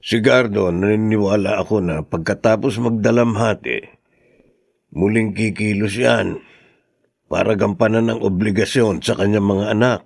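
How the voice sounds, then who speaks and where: A man's voice: on the low side, moderate pace, gravelly, no room sound, clean studio sound. A man with a Filipino accent playing a level-headed, caring middle-aged husband and friend who consoles others, in a radio drama. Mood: reassuring.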